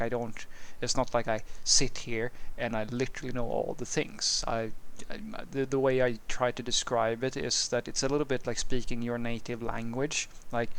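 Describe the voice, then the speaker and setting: A man from Sweden, a native Swedish speaker, talks through a programming question off the cuff. His voice has a gravelly, creaky edge and sits at an ordinary middle pitch. He rambles, restarts his sentences and piles up fillers as he thinks aloud. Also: fairly bright tone, low-quality recording. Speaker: software developer answering a viewer question. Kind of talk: casual unscripted monologue.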